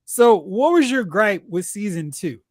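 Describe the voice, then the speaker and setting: A host chatting casually about pop culture, retelling reactions in slangy, animated asides. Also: medium pitch, a little slow, no room sound, studio quality. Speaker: host of a film and TV discussion show.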